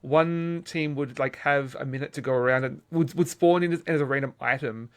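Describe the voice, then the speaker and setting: A middle-aged man chatting casually as a podcast host, explaining things in a halting way and repeating words as he goes. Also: medium pitch. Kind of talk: casual gaming podcast chat.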